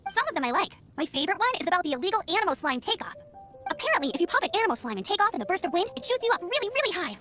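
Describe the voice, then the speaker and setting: A woman's voice: very high voice, big pitch swings, rapid-fire, bright, forceful tone, soft background music, exaggerated voice. A woman playing a cheerful, eager teenage girl scout in a video game, earnest and informal. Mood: upbeat, excited.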